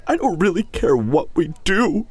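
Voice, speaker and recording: A man playing a tender, grieving young hunter boyfriend in an intimate, emotional audio drama, halting and husky, often dropping toward a whisper. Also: high voice, big pitch swings, dry recording, heavily acted. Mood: hopeful, pleading. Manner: halting, emotional, long pauses. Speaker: audio roleplay voice actor.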